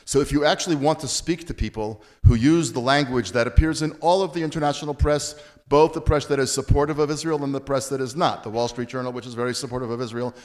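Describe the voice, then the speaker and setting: Earnest American man on a panel. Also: mid-range voice. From Baltimore, USA. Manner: earnest, with dry self-deprecating asides. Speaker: author and panel speaker. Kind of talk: panel discussion answer.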